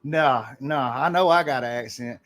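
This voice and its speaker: A man's voice: mid-range voice, normal speed, a bit bright. A man with a South Carolina accent, co-hosting a podcast in a laid-back, joking way with short agreeing replies. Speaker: podcast co-host. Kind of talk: casual chat.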